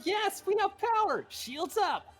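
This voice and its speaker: A man voicing an excited young boy pilot in an amateur comedic script reading, loud and exclamatory, with music underneath. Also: very high-pitched, slow pace, heavily acted.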